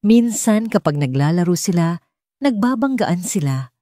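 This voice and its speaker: A woman voicing a gentle young nanny in an audiobook, soft and reassuring. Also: lowish voice, very animated, normal speed, no room sound, clean studio sound. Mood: soothing, playful.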